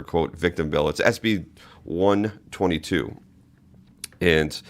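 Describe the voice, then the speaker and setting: A man's voice: low voice, a bit bright. A man from the Boston area hosting a political commentary podcast, talking casually and opinionatedly with frequent restarts. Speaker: podcast host.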